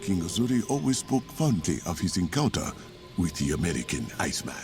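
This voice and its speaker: A man's voice: sits low, big pitch swings, a little fast. A man playing an eccentric, courteous mystic elder in a video game, with a ceremonious, teasing manner. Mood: playful, welcoming. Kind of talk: theatrical video game acting. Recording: prominent background music.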